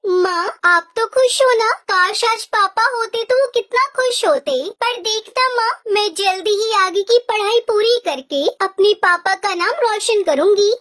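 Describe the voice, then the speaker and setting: औरत की आवाज़ में बहुत ऊँची, चमकीली नन्ही चिड़िया बिटिया. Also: female voice, extremely high pitch, measured pace, few pauses, fairly bright tone. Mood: hopeful, wistful. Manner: earnest, pleading. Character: devoted young girl bird, earnest cartoon daughter. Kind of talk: Hindi kids' cartoon voice acting.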